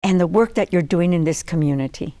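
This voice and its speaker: A woman's voice: on the low side, fairly quick, warm tone. A woman hosting a TV interview show, friendly and a little hesitant with fillers. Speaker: TV show host.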